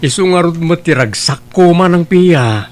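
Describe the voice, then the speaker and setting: A man's voice: on the high side, lively intonation, unhurried. A man playing a carefree, flippant young womanizer in an audio drama, with an Ilocano accent. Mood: flippant, dismissive. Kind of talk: Ilocano radio-style audio drama acting.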